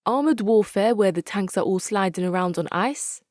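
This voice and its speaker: Woman acting female military commander, hard-nosed, measured and wry, video game quote delivery, mid-pitched, very dry close recording. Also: lively intonation, a little mellow, no room sound, lightly acted. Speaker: video game faction leader. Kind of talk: dramatic scripted game quotes.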